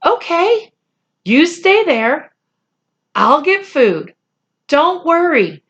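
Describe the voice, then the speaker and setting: A woman voicing an excited, eager-to-please young boy in a storybook, breathless and reassuring. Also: high voice, drawn-out words, muffled recording, voice pushed up, heavily acted.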